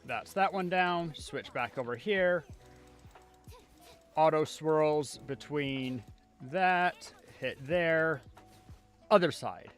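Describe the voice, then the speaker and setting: A man's voice: a little high, very slow, long pauses, fairly bright tone, soft background music. A man giving casual live commentary as he plays a video game, talking half to himself as a streamer. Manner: casual, muttering self-talk while playing.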